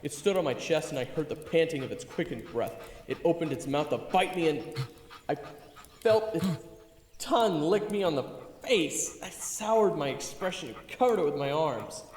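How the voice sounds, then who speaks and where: A man's voice: on the high side, expressive, normal speed, a little mellow, a little hiss or hum, lightly acted. A man playing a goofy, boastful young human adventurer who tells his own tale in an audio drama, theatrical and joking. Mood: storytelling. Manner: theatrical, joking, conversational storytelling. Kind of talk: first-person audio drama narration and dialogue. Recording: echoey, reverberant, music underneath. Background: music.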